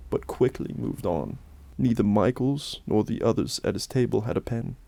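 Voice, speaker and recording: Mellow male storyteller, dry close sound. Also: medium pitch, gravelly, dry recording. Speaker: host and narrator of a Halloween special. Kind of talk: scripted dramatic narration with satirical asides.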